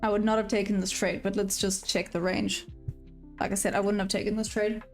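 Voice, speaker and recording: A woman's voice: medium pitch, flat delivery, brisk, faint music behind. A woman live-streaming her day trading, chatting casually and answering viewer questions as she goes. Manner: upbeat sign-off, friendly to viewers.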